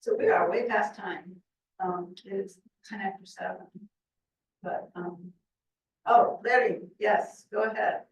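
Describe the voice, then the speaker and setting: A woman's voice: medium pitch, steady tone, slow, creaky, highs cut off. Older woman chatting on a video call. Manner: chatty, laughs often, trails off softly. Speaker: presenter. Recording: echoey room from far off, faint noise.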